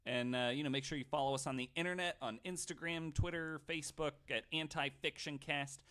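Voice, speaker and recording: A man's voice: on the high side, steady tone, a little fast, bright, forceful tone. A man hosting a casual podcast with joking, easygoing banter. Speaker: podcast host. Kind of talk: casual banter and show sign-off.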